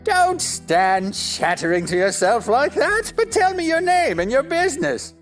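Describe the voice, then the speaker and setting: A woman's voice: high voice, very animated, unhurried, soft background music. A woman playing a pompous, touchy, argumentative talking egg in a stage-play style audio drama, with haughty, exaggerated emphasis. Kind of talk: theatrical audio drama acting.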